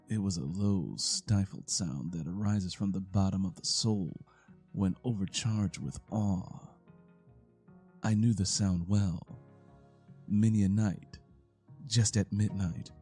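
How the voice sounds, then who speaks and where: A husky-voiced man performs a gothic horror tale in the first person. He is low and dark in tone, very deliberate, leaving dramatic pauses. Music runs beneath the narration.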